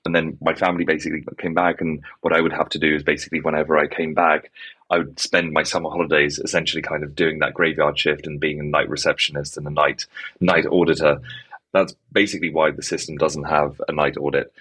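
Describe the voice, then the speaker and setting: A man from the Czech Republic who sounds English talks through a founder interview. His voice sits very low. He rambles, padding his thoughts with "kind of" and "basically". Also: level tone, fast, fairly bright tone, breathy edge. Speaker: guest, startup founder. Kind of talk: conversational interview.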